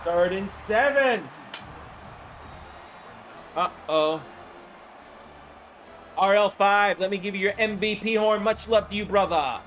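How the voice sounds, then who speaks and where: A man with a high voice and a hoarse throat calls plays on a live stream, with music faint underneath. He keeps greeting viewers as he goes and jumps into loud bursts when a play breaks. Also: takes it slowly, pauses often. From Florida. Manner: chatty, greets viewers by name, excited bursts on big plays. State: hoarse from the flu. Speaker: streamer calling a simulated football game.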